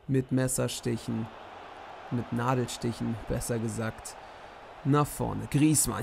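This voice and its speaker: Hoarse young man, gravelly voice, recaps matches like a commentator, faint noise behind. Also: mid-range voice. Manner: lively play-by-play summary. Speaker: host commentating simulated matches.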